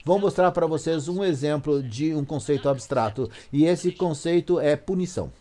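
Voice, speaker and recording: A Brazilian man with a fairly high voice works as a live interpreter for an academic lecture. His phrasing is halting, and he corrects himself as he goes. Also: warm tone. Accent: Brazilian Portuguese.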